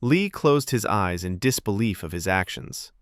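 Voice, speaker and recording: A man narrates serialized fiction in a fairly low voice. His delivery is expressive and moves widely in pitch, and the sound is dry, with little room around it. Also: moderate pace, dry recording.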